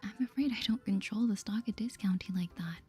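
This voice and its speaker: A woman voicing a polite, hesitant young shopkeeper heroine from a cozy game in a soft whisper. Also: medium pitch, a little fast, breathy edge, soft background music.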